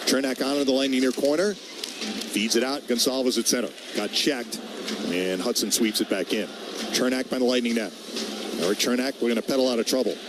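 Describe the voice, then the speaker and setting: Man, medium pitch, hockey radio play-by-play, clipped phrasing, noisy game ambience. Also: fairly quick. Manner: clipped phrases following the action. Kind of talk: live hockey play-by-play commentary.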